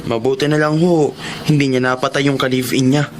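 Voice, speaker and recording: An actor playing a deferential, sympathetic assistant to a lawyer in a Tagalog radio drama. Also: male voice, medium pitch, flat delivery, fairly quick, close to an everyday voice. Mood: concerned, curious. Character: deferential, sympathetic male companion of an attorney. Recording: loud car noise behind.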